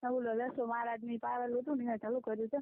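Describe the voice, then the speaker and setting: A woman's voice: on the high side, flat delivery, fast, slight background noise. A young woman with a rural Gujarati accent gossips casually in a private call, chattily asking questions and retelling conversations. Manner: chatty, questioning, recounts conversations in quoted back-and-forth. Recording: muffled, band-limited phone call recording.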